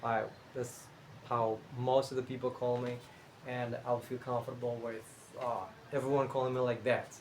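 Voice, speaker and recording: A young man from Cuba with a Cuban Spanish accent, Spanish his first language, talking casually to camera as a channel host, unhurried and thinking aloud with fillers and restarts. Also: mid-range voice, level tone, a little hiss or hum, rough recording.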